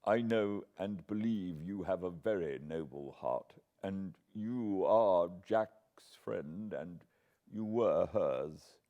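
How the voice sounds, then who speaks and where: A man playing a bereaved young English gentleman in an audiobook, courteous, earnest and full of feeling. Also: sits low, slow pace, phone-quality sound. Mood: warm, trusting. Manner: earnest, polite, emotional.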